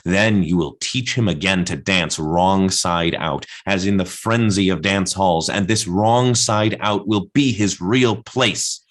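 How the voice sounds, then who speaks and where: A man performs a raving male poet-prophet in a radio play. The voice sits low and declaims deliberately. He is defiant and blasphemous, solemnly insisting on his obsessive visions. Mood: urgent, insistent. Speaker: actor in an adapted radio play. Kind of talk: theatrical radio-play monologue.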